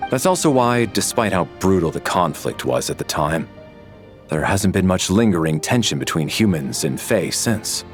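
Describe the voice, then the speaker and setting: An actor playing a composed, principled military man with a kind streak, measured and formal, in a game dub. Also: low voice. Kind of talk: English game dub voice acting. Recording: music under the voice.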